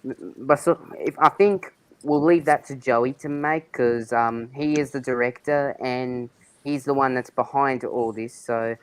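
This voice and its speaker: A man's voice: medium pitch, measured pace, clear, resonant tone. A man talking casually as a panel member in an online group chat.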